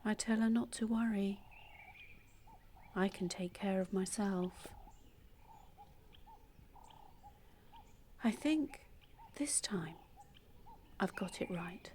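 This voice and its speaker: A woman acting an intimate audio-play monologue as a calm, obsessive, secretly dangerous woman, confiding in a measured, often hushed and breathy way. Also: medium pitch, a little hiss or hum, natural voice. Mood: calm, eerily reassuring. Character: calm, obsessive, delusional woman abandoned as a baby, secretly murderous. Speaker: actor in a monologue.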